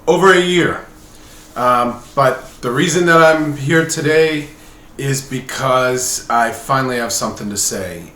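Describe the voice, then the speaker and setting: A roomy, echoing recording with faint noise carries the voice of a middle-aged man. He talks at an average male pitch in a casual vlog style. His delivery is candid and somewhat halting, as in a personal update to viewers. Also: sharp tone. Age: late 40s. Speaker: vlogger. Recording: roomy echo with background noise.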